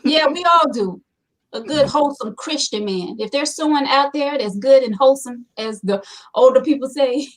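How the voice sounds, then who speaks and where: High-voiced teasing woman. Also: moderate pace, poor audio. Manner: teasing, joking. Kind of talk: casual group chat.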